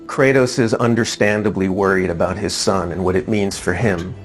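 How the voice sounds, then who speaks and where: Low-voiced man in developer interview. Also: steady tone, normal speed. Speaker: game developer. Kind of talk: promotional interview. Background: music.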